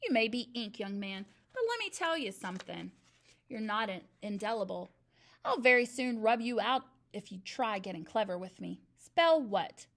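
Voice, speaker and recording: A woman's voice: medium pitch, very animated, moderate pace. Woman putting on a bellowing bully headmistress. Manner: loud, barking, snapping. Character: huge bullying woman headmistress, furious, barking.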